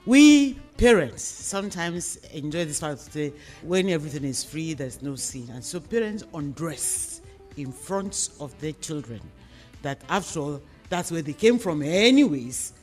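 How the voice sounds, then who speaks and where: A Nigerian man with a slightly high voice speaks as a radio host. He frames questions on a serious social issue in deliberate, measured phrases. Music plays behind him. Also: lively intonation. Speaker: host of a radio discussion programme.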